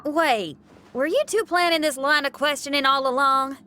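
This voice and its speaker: A spirited, friendly young adventurer woman for a video game. The voice is high and bright, with a plain-spoken, folksy delivery over soft music. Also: normal speed. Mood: surprised, amused. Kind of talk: video game character acting. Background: background music.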